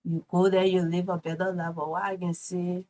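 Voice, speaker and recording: Liberian woman, steady interview guest. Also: lowish voice, normal speed. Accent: Liberian English. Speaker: culinary instructor guest. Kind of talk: casual interview answers.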